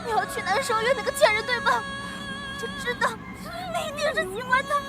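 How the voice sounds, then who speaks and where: A woman voicing a jealous young noblewoman who pleads and sobs in a shrill voice. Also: moderate pace, loud music behind the voice, over a noisy crowd. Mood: jealous sobbing. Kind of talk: animated drama dubbing.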